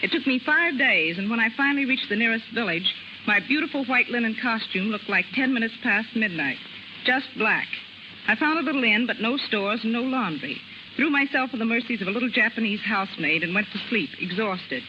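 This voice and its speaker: A woman's voice: fairly high pitch, animated, brisk, noisy background. Wry woman on muffled 1930s radio. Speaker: film script girl, radio guest. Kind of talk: scripted 1930s radio guest talk.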